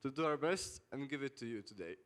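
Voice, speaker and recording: A man's voice: mid-range voice, expressive, brisk, background noise. A young man, introducing himself semi-formally as a student panelist. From Poland. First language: Polish. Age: late teens.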